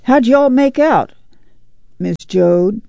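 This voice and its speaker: A woman's voice: lowish voice, a little slow, vocal fry, dark tone, no echo, dull audio. A woman voicing a stout, sociable farm neighbor in a storybook, with folksy talk. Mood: neighborly.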